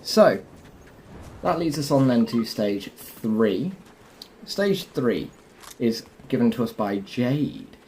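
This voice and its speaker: A man's voice: medium pitch, expressive, slow pace, pauses often, darkish tone, slight background noise, poor audio. A man hosting a gaming video, giving a casual walkthrough and explaining each step directly to viewers. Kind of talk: casual game walkthrough commentary.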